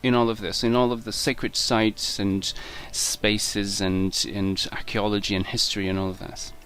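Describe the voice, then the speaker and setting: A man's voice: lowish voice, level tone, moderate pace, a bit bright. A man from Portugal hosts a casual interview, speaking hesitantly, stumbling over his words and often laughing. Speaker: host.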